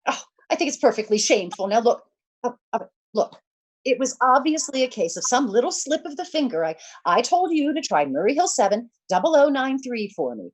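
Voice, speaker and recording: Woman acting a shrill, stammering, imperious wife. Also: sing-song, brisk. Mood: indignant, impatient. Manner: stammering, breathless repetitions, indignant. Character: agitated, imperious married woman, demanding and panicky on telephone. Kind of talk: old-time radio thriller acting.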